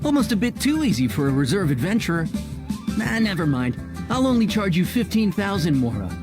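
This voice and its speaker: An actor playing a proud, stubborn young adventurer in an anime-style video game, brash and emphatic. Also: male voice, high-pitched, very animated, brisk. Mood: cocky. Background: game music.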